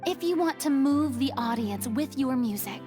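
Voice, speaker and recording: A woman's voice: high-pitched, lively intonation, moderate pace, music in the background. An actress playing a grand, self-assured young woman of rank who speaks with pompous, dramatic flair. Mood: grandiose.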